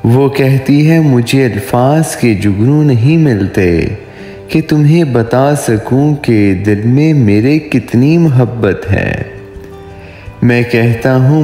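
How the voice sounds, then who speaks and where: A man in his thirties reciting romantic poetry in a measured, expressive way, lingering on his phrases. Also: mid-range voice, echoey room, long reverb tail. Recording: faint music behind the voice.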